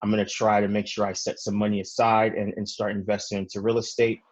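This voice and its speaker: A young man from Ghana, telling his story conversationally as an interview guest, in a halting way with many fillers. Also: lowish voice, little pitch movement, highs cut off. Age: young adult. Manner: halting, frequent "you know" fillers. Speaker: podcast guest, real estate investor.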